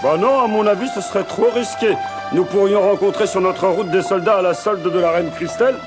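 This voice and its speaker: A man's voice: high-pitched, fairly quick, over music, lightly acted. An actor voicing a brave male cartoon companion who gives orders. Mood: calm. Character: brave helpful male companion, takes charge.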